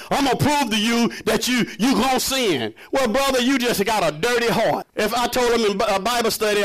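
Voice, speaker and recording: Male preacher, very high-pitched, emphatic and conversational sermon delivery with challenging rhetorical questions. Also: a bit bright, poor audio. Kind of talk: impassioned sermon to a congregation.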